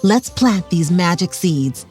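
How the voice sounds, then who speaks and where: A woman's voice: fairly low pitch, expressive, takes it slowly, a little mellow, faint music behind. A woman voicing an eager, confident, helpful little boy in a children's storybook.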